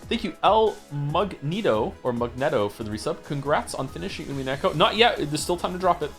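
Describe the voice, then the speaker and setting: Animated fast-talking man streamer. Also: fairly high pitch, faint music behind. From Wales. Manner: animated, reads messages aloud quickly with wry asides. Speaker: streamer reading chat messages. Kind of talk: casual livestream chat.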